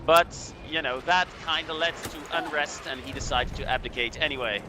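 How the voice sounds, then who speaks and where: Man, English speaker, on the higher side, groping for words in relaxed history chat, clear music behind. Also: moderate pace. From Belgium. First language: not English. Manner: hesitant, searching for words. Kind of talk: casual history chat during gameplay.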